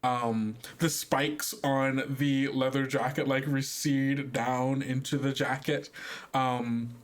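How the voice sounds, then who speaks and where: Male tabletop host, medium pitch, halting improvised delivery, thinking aloud. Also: level tone. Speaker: game master and podcast host. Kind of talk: improvised tabletop game narration.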